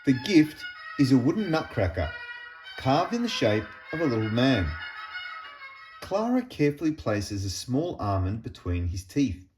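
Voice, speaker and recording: A man reading a children's storybook aloud in an expressive, deliberate storytelling manner with pauses. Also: medium pitch, music in the background. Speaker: storybook reader.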